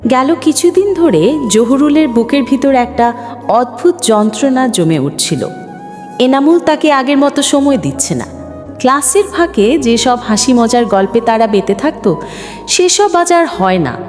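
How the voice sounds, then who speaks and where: A woman's voice: sits high, big pitch swings, measured pace, a little mellow. A woman reading a scripted emotional story as a storyteller, in a dramatic, sentimental delivery. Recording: long hall reverb, music bed. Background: background music.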